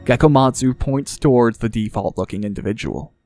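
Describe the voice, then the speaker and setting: Male audiobook narrator, scripted fantasy story, medium pitch, faint music underneath. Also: fairly quick, a little mellow, dry recording, clean studio sound.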